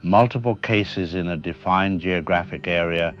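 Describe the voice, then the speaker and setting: A man playing a measured emergency-response official explaining things in an audio drama news interview. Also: low-pitched, lo-fi sound, close to an everyday voice. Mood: measured, explanatory. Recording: music bed under the voice.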